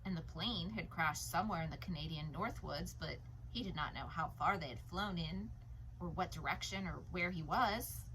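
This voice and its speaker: A woman narrating a novel as a read-aloud, in an expressive audiobook style. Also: lowish voice, normal speed, rough recording. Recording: noticeable background noise.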